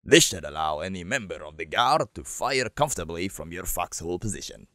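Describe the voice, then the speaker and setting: A man voicing a gruff, brisk sci-fi army sergeant, barking out instructions in game character style. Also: deep voice, very animated, bright, forceful tone, slight background noise, full character voice. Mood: brisk, commanding. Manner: barked, instructional. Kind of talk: military game acting.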